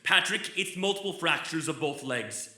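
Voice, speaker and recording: A man's voice: fairly high pitch, lively intonation, moderate pace, pushed and bright. An actor playing an anxious, weak-willed county doctor in a live old-time radio drama, agitated and hesitant as he pleads. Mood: desperate pleading. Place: theatre stage. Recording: echoey live stage recording.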